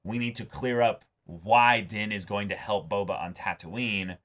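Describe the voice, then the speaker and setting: Bright-voiced man, casually discussing. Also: lowish voice, measured pace. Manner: animated, acts out imagined dialogue. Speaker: co-host of a reaction show.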